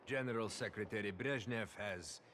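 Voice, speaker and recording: A man playing an authoritative older Soviet party secretary in a video game, speaking in a stern, formal, deliberate way. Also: on the low side, noisy background, natural voice. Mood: grave, commanding. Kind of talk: cinematic video game acting.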